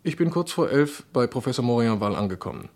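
Ein Schauspieler spielt in einem Krimihörspiel einen ernsten Arzt, der sich zögernd erklärt und rechtfertigt. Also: male voice, medium pitch, expressive, fast, a little mellow, lightly acted. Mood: earnest, defensive. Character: earnest, defensive male doctor, secretly in love. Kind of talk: German radio crime play acting.

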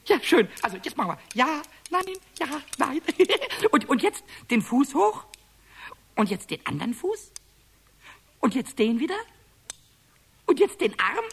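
A man voicing a cheeky, childlike, excitable little kobold in a children's radio play, squeaky and stammering as he repeats his words. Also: unhurried, creaky, long pauses, slightly rough, heavily acted. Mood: playful, boastful.

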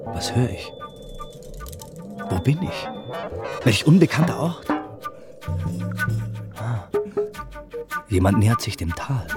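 Confused scared prince, slow and lowish, radio play, loud music. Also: male voice, big pitch swings. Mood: dazed, uneasy. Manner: hesitant, theatrical. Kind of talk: radio drama acting.

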